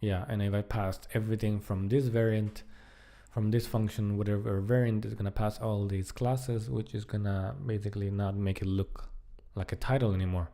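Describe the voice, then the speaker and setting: Man, low creaky voice, mellow tone, halting muttered programmer commentary, casual. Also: steady tone. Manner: halting, muttering to himself while working. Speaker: programmer live-streaming a coding project. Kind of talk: casual live-coding commentary, thinking aloud.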